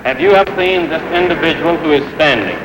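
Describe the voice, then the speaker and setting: American guy interrogating at a hearing, highish voice, big echoey room, noisy old recording. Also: fast. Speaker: questioner at a congressional hearing. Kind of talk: formal interrogation.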